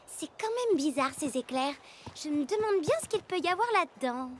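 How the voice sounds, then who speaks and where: A woman voicing a plucky, curious young girl pirate captain in an animated cartoon, expressive and full of exclamations. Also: sits high, normal speed, slight background noise. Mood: excited curiosity. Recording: music under the voice.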